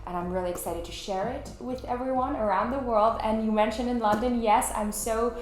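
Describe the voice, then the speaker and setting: A woman's voice: on the high side, fairly even intonation, a little fast, soft background music. A woman from Iran, a journalist, talking as a guest in her home, thoughtful, with many "you know" and "um" fillers. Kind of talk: casual interview conversation. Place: home flat, indoors. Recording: echoey room with faint noise.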